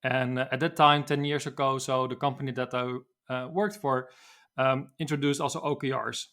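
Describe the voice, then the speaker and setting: A man's voice: medium pitch, steady tone, moderate pace, fairly bright tone. Dutch man, hesitant and laughing. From Netherlands. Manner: hesitant, many fillers and restarts, laughs often. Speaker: guest. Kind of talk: casual interview answers.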